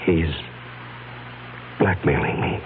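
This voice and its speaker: A man's voice: takes it slowly, lightly acted. An actor playing an earnest blackmailed businessman with a hidden past in a 1970s radio drama. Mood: troubled, confessing. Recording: tinny vintage radio broadcast with hum.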